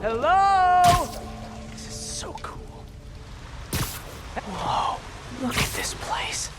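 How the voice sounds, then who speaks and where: A man's voice: very high-pitched, very animated, extremely slow, heavily acted. An actor playing a young, good-hearted American superhero in a video game, earnest and quippy as he talks. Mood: curious, quippy. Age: young adult. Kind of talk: video game voice acting, talking to himself. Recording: loud music behind the voice. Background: game music.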